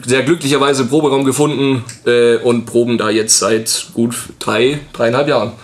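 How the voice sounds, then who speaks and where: A 21-year-old man, a guitarist guest, calmly explaining his band's story in a casual interview. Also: medium pitch, normal speed. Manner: calm, matter-of-fact explaining.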